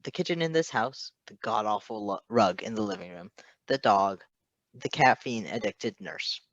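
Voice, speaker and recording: A man reading fiction aloud as an expressive narrator. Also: fairly low pitch, normal speed, dry recording, muffled recording. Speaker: fan fiction reader.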